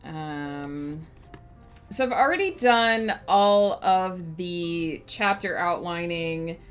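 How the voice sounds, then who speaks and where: A sniffly woman talks through her writing on a livestream, thinking aloud to her viewers and rambling with plenty of fillers. Her voice sits slightly low, and she speaks unhurriedly. Faint music plays behind her. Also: drawn-out words, smooth. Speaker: author streaming her writing process. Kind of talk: casual livestream chat.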